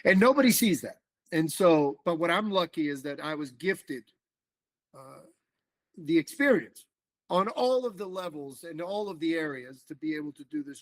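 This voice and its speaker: A middle-aged man from the Dominican Republic, talking casually as a podcast guest in an animated, rambling way with lots of fillers and restarts. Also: fairly high pitch, no echo. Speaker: political organizer guest.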